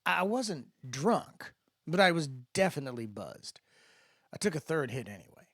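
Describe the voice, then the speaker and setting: A man's voice: a little high, sing-song, normal speed, a bit bright, no echo, clean studio sound. A man giving a dramatic first-person narration of a story, as in an audiobook. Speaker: author-narrator reading fiction.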